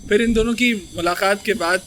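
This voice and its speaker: A man's voice: high voice, normal speed. A man hosting a casual chat, easygoing and bantering, laughing often. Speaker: podcast host, channel owner. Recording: noisy background.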